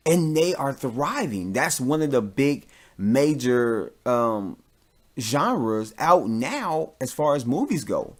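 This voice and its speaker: A man's voice: mid-range voice, big pitch swings, takes it slowly. A man hosting a podcast, giving casual, rambling opinions in an informal, mildly profane way as he thinks aloud. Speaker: podcast host.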